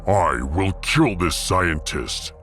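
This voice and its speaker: A man's voice: low voice, lively intonation, slow pace, a bit bright, faint music behind, heavily acted. A man voicing a big, blunt, hot-tempered barbarian crewman in a science fiction audiodrama. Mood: outraged.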